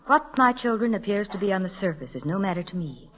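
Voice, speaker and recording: An actress as a stern, caring radio-drama schoolteacher. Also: female voice, mid-range voice, animated, quick pace, fairly bright tone, close to an everyday voice. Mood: earnest, firm. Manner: earnest, emphatic, formal schoolroom speech. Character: stern but caring, principled female schoolteacher. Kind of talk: 1940s radio drama acting.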